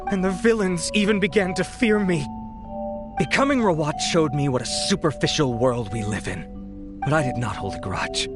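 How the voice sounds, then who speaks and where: A man's voice: a little high, animated, normal speed, music in the background, close to an everyday voice. A man playing a bitter, scorned alchemist. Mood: reflective. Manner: sardonic, resentful. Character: bitter scorned young male alchemist turned criminal. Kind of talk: video game voice acting.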